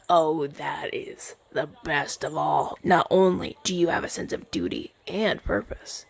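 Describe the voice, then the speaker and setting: Guy doing a earnest lil crow familiar, hesitant n rambly, bit gravelly, voice goes up and down a lot, asmr roleplay. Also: mid-range voice, exaggerated voice. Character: shy, earnest rogue crow familiar, eager to serve.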